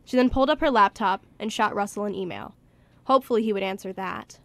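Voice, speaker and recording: A woman's voice: medium pitch, fast talker, fairly bright tone, no echo. A woman reading scripted story narration for a radio play.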